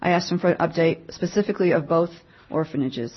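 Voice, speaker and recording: A woman giving a mission update at church, reading a written report aloud to the congregation in a plain, measured way. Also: fairly low pitch, lo-fi sound.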